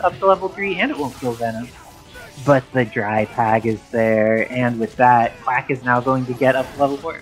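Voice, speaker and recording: A man's voice: a little high, rough recording. Man commentating over game music. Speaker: esports commentator. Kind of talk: live fighting game play-by-play commentary. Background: game music under the commentary.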